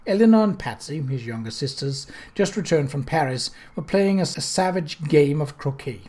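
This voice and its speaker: Higher-voiced man reading a novel aloud. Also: animated, moderate pace, darkish tone. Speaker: audiobook reader. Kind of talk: scripted dramatic narration of a period novel.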